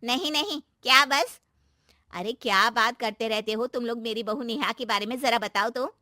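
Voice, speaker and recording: A woman's voice: on the high side, normal speed, sharp tone, no echo, clean studio sound. A woman voicing a touchy, critical older aunt-in-law in a family moral story, with a scolding, mocking manner. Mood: indignant, mocking.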